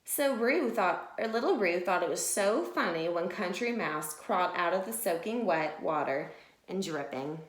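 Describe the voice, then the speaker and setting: A woman's voice: on the low side, expressive, moderate pace. A woman teacher reading a picture book to young children and explaining it simply and expressively. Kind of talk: children's read-aloud and mini lesson. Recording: echoey room.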